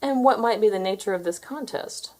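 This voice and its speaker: Lady doing a big blustery bad guy boss for a kids book, sly and roaring, pitched up. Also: brisk, close to an everyday voice. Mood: intrigued. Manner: loud, sly, roaring threats. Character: blustering, villainous big man, a bullying boss and judge. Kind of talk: children's storybook read aloud.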